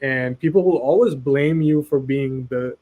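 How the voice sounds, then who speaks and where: A man's voice: mid-range voice, measured pace, phone-quality sound. A man from Pakistan speaking as an expert guest in a casual webinar chat, earnest and persuasive, often tagging "right?" onto his points.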